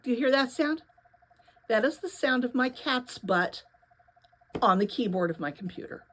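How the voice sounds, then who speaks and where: A woman narrating a young adult story aloud in an expressive read-aloud style. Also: on the high side, moderate pace. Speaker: narrator reading a novel aloud.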